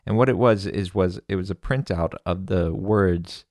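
Deep-voiced American podcast host. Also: male voice, normal speed, dry recording, studio quality. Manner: relaxed, explanatory. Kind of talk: casual podcast intro.

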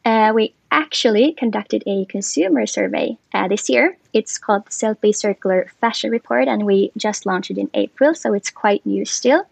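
A woman from Finland, her voice carrying a touch of vocal fry. She explains her work in a relaxed interview manner, with frequent fillers along the way. The recording is dry and close, with no room sound. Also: medium pitch, moderate pace, hardly any pauses, no room sound. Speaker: guest, commercial lead. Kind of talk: podcast interview.

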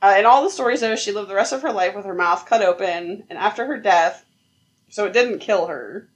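A woman's voice: medium pitch, brisk. A woman co-hosting a podcast, telling a legend in a casual, rambling way and laughing often mid-sentence. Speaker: podcast co-host. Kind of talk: casual storytelling chat.

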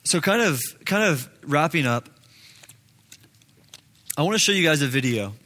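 Male preacher, fairly high-pitched, informal and earnest, talking to a congregation like friends. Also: animated, normal speed, pushed and bright. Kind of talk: casual sermon to a congregation.

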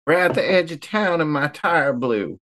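Animated, casual tabletop game player. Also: medium pitch, moderate pace. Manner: peppers speech with "like".

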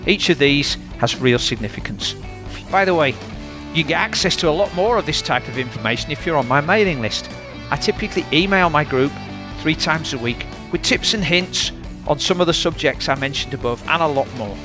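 A man's voice: fairly high pitch, animated, fairly quick, over music. A man with a Yorkshire accent hosting a fitness podcast, chatty and friendly, interviewing a guest. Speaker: podcast host.

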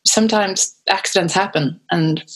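A woman's voice: mid-range voice, normal speed, breathy edge. A woman from Sweden on a discussion panel, chatty and full of fillers. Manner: chatty, many fillers like "you know" and "um".

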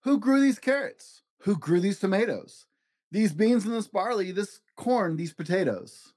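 An adult putting on an animated, curious young boy. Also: mid-range voice, a little slow, no echo, studio quality, close to an everyday voice. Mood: demanding. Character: curious picky young boy, skeptical then delighted.

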